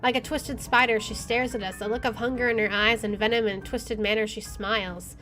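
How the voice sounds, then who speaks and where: A woman game streamer with an expressive, excitable delivery, reading aloud and commenting as she plays. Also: fairly high pitch, brisk. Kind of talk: dramatic reading aloud and let's play commentary. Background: faint game music.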